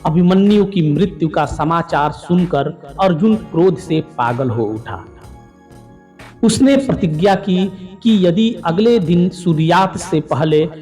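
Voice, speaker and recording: Male narrator, slightly high voice, measured formal storytelling over faint music. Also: lively intonation, mellow. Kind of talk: scripted mythological narration.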